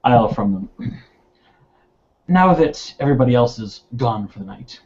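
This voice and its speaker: A man narrating his roleplaying character's actions in the third person, in halting phrases. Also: mid-range voice, expressive, a little mellow. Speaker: tabletop roleplaying player. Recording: dull lo-fi voice recording.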